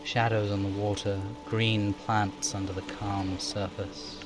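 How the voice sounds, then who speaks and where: A man's voice: fairly low pitch, little pitch movement, takes it slowly, music in the background. A man streaming a game, reading descriptive prose aloud like a narrator and dropping in casual asides.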